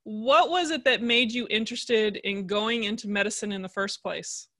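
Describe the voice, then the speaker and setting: Bright-voiced woman podcast host. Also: on the high side, moderate pace. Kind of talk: conversational podcast hosting.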